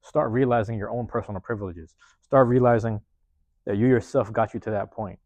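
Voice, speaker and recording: A man hosting a podcast from a university room, giving a loose, slangy motivational monologue with lots of repetition, his voice getting a bit hoarse. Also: lowish voice, brisk, darkish tone, no echo. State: voice going hoarse. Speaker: podcast host.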